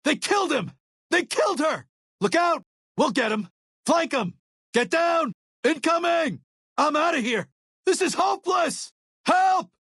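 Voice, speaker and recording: A man's voice: very high-pitched, lively intonation, unhurried, pauses often, fairly bright tone, no echo, studio quality, heavily acted. An actor playing a devout, weary missionary man in a video game, speaking with earnest gravity. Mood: combat shouting. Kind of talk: video game character dialogue.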